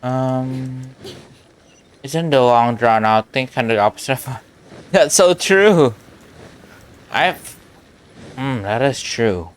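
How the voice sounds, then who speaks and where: A man's voice: mid-range voice, takes it slowly, pauses often, smooth. A man giving casual livestream game commentary in brief, musing asides.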